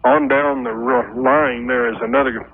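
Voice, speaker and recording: A man's voice: medium pitch, steady tone, normal speed, creaky, breathy edge. A man calling a radio show, explaining his problem in a folksy, rambling, hesitant way. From United States, Oklahoma. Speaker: call-in radio caller. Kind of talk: casual explaining of a personal problem. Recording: tinny noisy phone line on radio.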